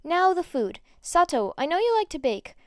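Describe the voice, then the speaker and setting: A woman voicing a poised, upbeat teenage girl who speaks politely and briskly, organizing others in an audio story. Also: extremely high pitch, big pitch swings, dry recording, studio quality, voice pushed up. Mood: cheerful.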